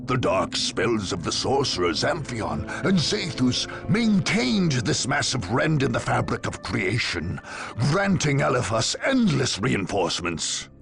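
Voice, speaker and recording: A grave, portentous storyteller recounts a dark war saga for a video game. His mid-pitched voice is creaky and lively in its rise and fall, set over music. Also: unhurried. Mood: grave, ominous. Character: grave, ominous male narrator of a war chronicle. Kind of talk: dramatic scripted narration for a video game.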